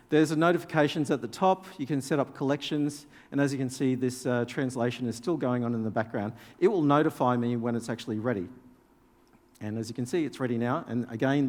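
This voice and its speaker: A man whose first language is Danish walks a conference audience through a live software demo, speaking casually and turning to the room with questions. His voice sits at an ordinary middle pitch and carries the long reverb of a big hall. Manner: casual, explanatory, addresses audience directly. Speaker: conference presenter.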